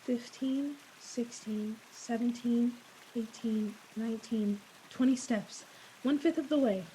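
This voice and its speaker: A high-voiced young woman played by an actress in an old-time radio play, nervous and alone in the dark. She talks to herself with theatrical unease, over a faint hiss. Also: slow pace. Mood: nervous self-soothing. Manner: anxious self-talk, theatrical. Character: frightened young woman walking home alone at night. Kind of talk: 1940s radio play acting. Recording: hissy, noisy recording.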